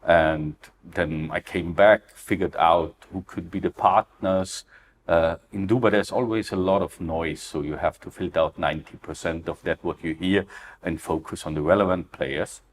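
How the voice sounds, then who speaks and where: A man's voice: sits low, fairly even intonation, normal speed, slight background noise. A middle-aged Austrian man with an Austrian German accent, a founder answering interview questions earnestly, with frequent fillers and non-native phrasing. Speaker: crypto ecosystem founder, guest.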